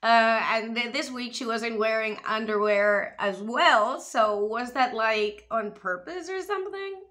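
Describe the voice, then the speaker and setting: Bright, lively young woman vlogger. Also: a little high, measured pace. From Spain. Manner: animated, expressive, gestures while talking. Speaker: vlogger reviewing a TV show. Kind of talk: casual chatty commentary to camera. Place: small room at home, indoors. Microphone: webcam or camera close in front.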